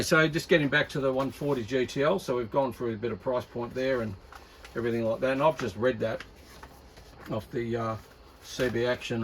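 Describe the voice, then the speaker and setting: A man with an Australian accent and a gravelly, creaky voice at an ordinary male pitch. He talks off the cuff like a hobbyist showing gear, halting and thinking aloud. Steady, clearly audible noise sits behind his voice. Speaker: radio hobbyist presenter. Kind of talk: casual unscripted product talk.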